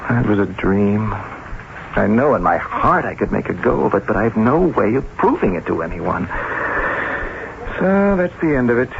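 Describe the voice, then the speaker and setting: A man's voice: on the low side, big pitch swings, moderate pace, gravelly. An actor playing an earnest, wistful man in a radio drama, polite and tentative as he makes his case. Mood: resigned. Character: earnest, wistful male dreamer pleading for a loan. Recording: tinny, hissy old radio recording.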